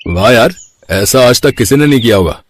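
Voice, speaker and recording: A man's voice: medium pitch, lively intonation, brisk, close to an everyday voice. A man voicing an easygoing young village friend in a cartoon story, colloquial and given to exclaiming. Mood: amazed, curious.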